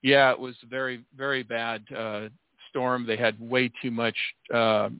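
A man's voice: mid-range voice, little pitch movement, measured pace, smooth, no room sound. A man in his sixties, a guest talking casually in an interview, rambling with many restarts and fillers. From United States. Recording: tinny phone line.

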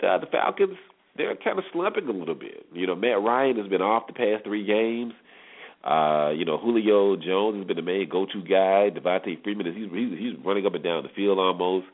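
Guy hosting sports radio, kinda low voice, chatting through games, sounds like its coming thru a phone. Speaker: sports radio show host. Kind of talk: conversational sports commentary and preview. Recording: muffled, phone-like narrow-band radio audio.

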